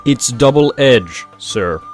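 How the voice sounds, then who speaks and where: A man's voice: medium pitch, lively intonation, takes it slowly, faint music behind. A man playing a dutiful, suspicious cartoon pony royal guard with a formal, protective manner. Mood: stiff, deferential. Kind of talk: scripted audio drama acting.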